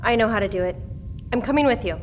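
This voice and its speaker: A woman's voice: fairly high pitch, quick pace, a little hiss or hum, close to an everyday voice. An actress playing a determined, self-assured female survivor who speaks insistently in an audio drama.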